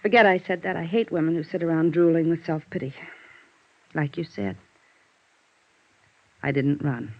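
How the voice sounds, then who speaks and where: A dull vintage radio recording carries a bitter, guarded widow. Her voice has a smoky creak, and she confides in a weary, wistful, halting way, in the manner of 1950s radio noir. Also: low voice. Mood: bitter, resigned. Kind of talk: 1950s radio drama acting.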